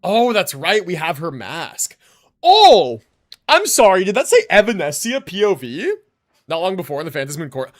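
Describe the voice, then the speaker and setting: A woman's voice: lowish voice, very animated, moderate pace. A woman livestreaming a game, reacting aloud in casual, informal commentary. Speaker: game streamer.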